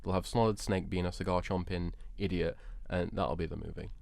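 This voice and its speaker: A man's voice: sits low, fairly even intonation, brisk, creaky, crowd noise. A man arguing his opinion emphatically in a casual panel debate, repeating words for stress.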